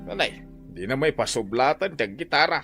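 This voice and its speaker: An actor with an Ilocano accent playing an excitable, pleading young son in a radio drama. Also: mid-range voice, moderate pace, soft background music. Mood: urgent, pleading.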